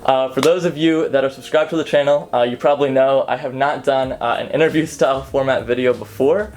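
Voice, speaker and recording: A young man in his twenties hosting a casual interview, talking in a relaxed way with many fillers. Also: medium pitch, a little fast. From United States. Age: 20s. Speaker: host, admissions consultant.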